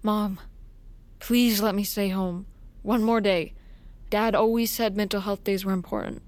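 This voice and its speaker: A woman's voice: medium pitch, fairly even intonation, unhurried, a little mellow, dry recording, natural voice. Woman playing sullen, reluctant teenage girl. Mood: miserable, pleading. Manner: reluctant, pleading. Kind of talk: immersive audio drama acting.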